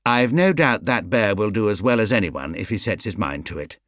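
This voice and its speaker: Man voicing a brisk, no-nonsense British housekeeper woman. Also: fairly low pitch, expressive, fairly bright tone, no echo, clean studio sound. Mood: firm approval.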